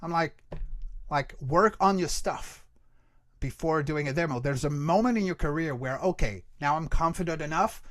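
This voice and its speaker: Higher-pitched man, explaining casually, dry sound. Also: moderate pace, a bit bright, no room sound. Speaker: guest expert, creature voice actor and workshop teacher. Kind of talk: casual explanatory interview answers.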